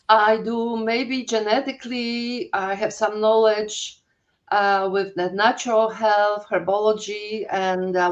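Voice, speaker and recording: An older woman with a Polish accent tells her story slowly and haltingly, often restarting her sentences as she looks for the right word. Her voice sits at an ordinary middle pitch, and the recording is dull and muffled. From Poland. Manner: halting, searching for words, many restarts. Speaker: guest, holistic health coach. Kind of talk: personal storytelling in an interview.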